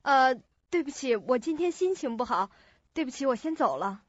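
An actress playing a moody, self-deprecating young office intern in a Chinese radio drama. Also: female voice, high-pitched, expressive, normal speed, husky, no room sound. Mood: apologetic. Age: young adult. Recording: dull lo-fi recording.

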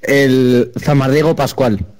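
A man's voice: mid-range voice, slow, darkish tone, lightly acted. A man with a Spain accent playing a straight-faced, helpful son in a prank call, giving short polite answers.

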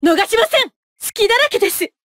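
A woman's voice: extremely high pitch, lively intonation, brisk, a bit bright, dry recording, studio quality. An actress playing a poised, haughty young female knight in a fighting game, speaking with formal, imperious politeness and clipped battle cries. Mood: scornful.

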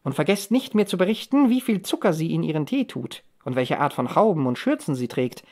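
A woman's voice: low voice, sing-song, a little fast, mellow. A cheeky, sulky teenage boy acted out by a woman in an audiobook. He grumbles and mocks with stubborn defiance. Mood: mocking. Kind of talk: audiobook character dialogue.

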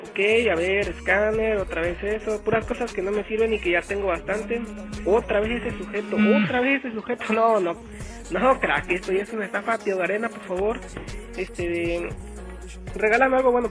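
A young man, giving casual, slangy gameplay commentary as a youtuber, excitable and repeating himself. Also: high voice. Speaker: gaming youtuber. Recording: game music underneath. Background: game music.

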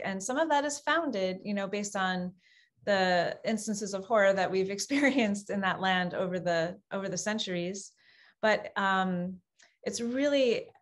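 Casting note: woman guest researcher, measured and thoughtful, hesitant delivery with frequent fillers. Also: mid-range voice. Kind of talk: webinar interview answers.

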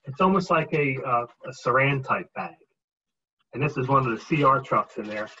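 A man in his forties giving a casual show-and-tell seminar from home on a video call, relaxed and explaining as he holds items up. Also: medium pitch, normal speed, a little hiss or hum. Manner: relaxed, explains while holding up items, frequent ums. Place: home dining room with a glass cabinet. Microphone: webcam on a video call. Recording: muffled video-call audio.

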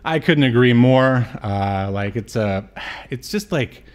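A man's voice: mid-range voice, expressive, slow pace. A middle-aged man chatting casually as a podcast host, talking from a room at home over a video call. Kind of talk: casual chat on a film review stream.

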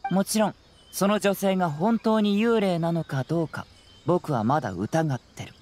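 Man playing a calm, self-assured young detective. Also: high voice, normal speed, slight background noise, lightly acted. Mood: confident. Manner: composed, casual, analytical, self-assured. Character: calm, clever, confident young male detective. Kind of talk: anime game voice acting. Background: music.

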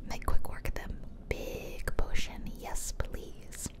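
A woman whispering playful ASMR commentary while she plays a video game. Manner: soft, playful, drawn-out whispering. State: tired. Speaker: game streamer.

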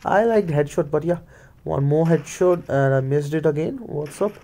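Male gamer, Indian accent, Hindi and Punjabi native. Relaxed, dark-toned, slightly high voice, casual running commentary. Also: normal speed. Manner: mutters play-by-play, slips into Hindi. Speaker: gaming video creator.

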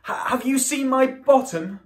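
A woman voicing an anxious little male robot in a storybook, high and wailing. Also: unhurried, roomy sound. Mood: worried.

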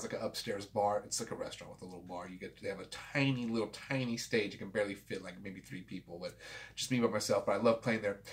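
A man in his forties hosting a home vlog, talking loosely and swearing freely after a couple of drinks, recorded on a desk camera in a small room. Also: lowish voice. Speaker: vinyl collector channel host. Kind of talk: casual rambling vlog. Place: small home room with framed pictures and a guitar.

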